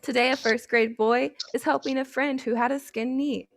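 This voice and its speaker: A young woman, a student volunteer, introducing herself and reading a picture book aloud in a gentle, even storytime voice over a video call. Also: high voice, moderate pace, no room sound, clean studio sound. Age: young adult.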